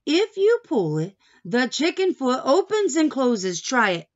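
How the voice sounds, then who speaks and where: A woman reading a picture book aloud to young children like a teacher, playful and expressive, with asides, questions and some Spanish words mixed in. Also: high voice, measured pace, lo-fi sound. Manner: playful, expressive, with gasps and exaggerated reactions; drops Spanish words in and translates them.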